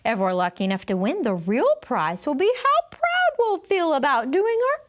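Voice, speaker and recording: A woman voicing a weary, patient male first-grade teacher in a children's storybook, measured and explanatory. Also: high voice, big pitch swings, voice pushed up. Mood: encouraging. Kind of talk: children's storybook read-aloud.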